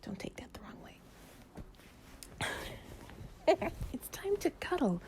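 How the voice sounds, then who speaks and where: A woman playing an affectionate, playful girlfriend in an improvised bedtime cuddle audio, speaking softly and breathily, often near a whisper, in a rambling way. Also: a little high, big pitch swings, brisk, pitched above the performer's own voice. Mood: tender, playful. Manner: soft, rambling, affectionate, giggly. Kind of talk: improvised intimate relaxation roleplay audio, ASMR-like.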